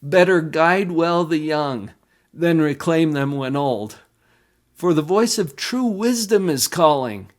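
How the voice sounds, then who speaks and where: Man, poem reader, slightly high voice, very unhurried pace, expressive and deliberate recitation. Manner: deliberate, expressive storytelling delivery. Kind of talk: dramatic poetry recitation.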